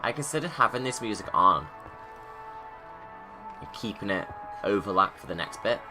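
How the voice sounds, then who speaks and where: A man's voice: fairly low pitch, animated, normal speed, over music. A man giving informal, rambling creator's commentary on his own video, casual and off the cuff.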